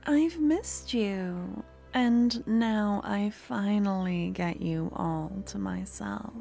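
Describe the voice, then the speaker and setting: A woman performs a sweet, possessive young woman merchant in an ASMR audio roleplay. Her voice is mellow and unhurried, soft and close. She often sinks into a hushed whisper. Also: medium pitch. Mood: doting. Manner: soft, intimate, slips into whispers.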